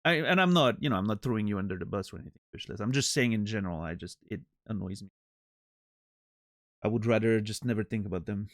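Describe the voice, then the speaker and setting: A man's voice: on the low side, quick pace, dry recording, studio quality. Rambling, joking male streamer. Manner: rambling, self-interrupting, joking. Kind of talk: casual chat with live chat.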